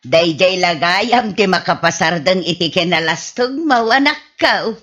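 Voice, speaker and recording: A woman's voice: fairly low pitch, lively intonation, measured pace, fairly bright tone. A woman with an Ilocano Filipino accent playing a startled, scolding mother in a comedy radio drama. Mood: concerned, scolding. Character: startled, scolding mother of a wayward grown son.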